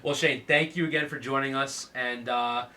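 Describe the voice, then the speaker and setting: A man's voice: medium pitch, steady tone, moderate pace, bright, forceful tone, recorded from a distance. A man hosting a casual chat, sounding stuffed up from a cold, with a laid-back manner. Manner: laid-back, lots of fillers and laughs. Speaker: podcast host.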